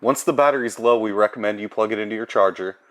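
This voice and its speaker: A man's voice: on the low side, fast talker, a bit bright. A man presenting an instructional product tutorial in a plain, procedural way.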